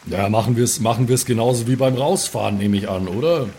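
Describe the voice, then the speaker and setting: A man's voice: medium pitch, fairly quick, warm tone. A man talking casually as a player in an improvised tabletop role-playing game. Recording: faint background noise.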